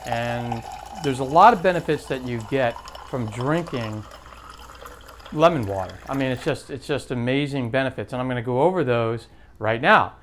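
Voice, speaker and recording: A man's voice: medium pitch, expressive, normal speed, a bit bright, slight background noise. A man in his late fifties hosting his own health vlog, chatty and rambling, with lots of fillers and restarts. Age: 50s. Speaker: health vlogger. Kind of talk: casual to-camera vlog.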